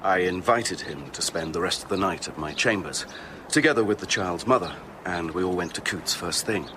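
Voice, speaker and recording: An actor playing an earnest, indignant, uneasy Victorian gentleman with an English accent in a radio drama, emphatic and sometimes hesitant. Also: low voice, normal speed, a little hiss or hum. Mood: confiding.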